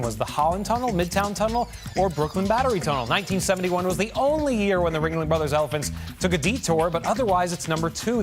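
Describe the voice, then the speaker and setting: A man with a somewhat high-pitched voice hosts a trivia game. His delivery is upbeat and scripted, with the polish of a promotional announcer. Music plays clearly behind him. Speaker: trivia game show host. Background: music bed.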